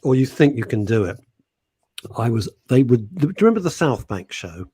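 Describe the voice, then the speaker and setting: Male radio host, husky, dry close studio mic, chatty storyteller. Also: mid-range voice, big pitch swings, moderate pace, warm tone, dry recording. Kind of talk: casual radio chat.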